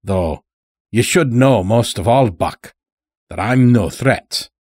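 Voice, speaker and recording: A man voicing a stout, sly old farmer in an audiobook story, with a folksy drawl and unhurried, dragged-out words. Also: on the low side, lively intonation, a little mellow, breathy edge, dry recording, studio quality, exaggerated voice. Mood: folksy, placating.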